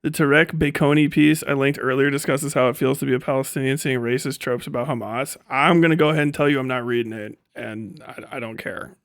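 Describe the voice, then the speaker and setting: A man's voice: mid-range voice, steady tone, brisk, hardly any pauses, no echo, clean studio sound. A man giving a casual livestream rant as host, swearing freely in slangy, sarcastic talk and often laughing as he speaks.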